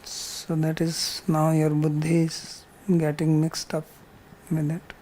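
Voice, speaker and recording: A man's voice: fairly high pitch, completely flat delivery, slow pace, mellow, a little hiss or hum, low-quality recording. A man with an Indian accent teaching a scripture class, explaining patiently with simple examples and repetition. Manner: didactic, repeats points, uses simple examples. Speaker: spiritual teacher.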